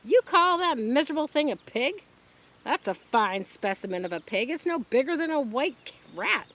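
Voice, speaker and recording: A woman's voice: high voice, big pitch swings, normal speed, fairly bright tone, voice pushed up, exaggerated voice. A woman voicing a demanding, scornful ten-year-old boy.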